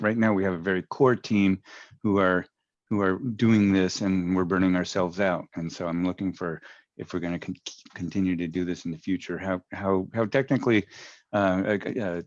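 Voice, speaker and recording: Deep-voiced man on a dull call line. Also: dry recording. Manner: halting, many restarts and fillers. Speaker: church participant in a video call. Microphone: video call.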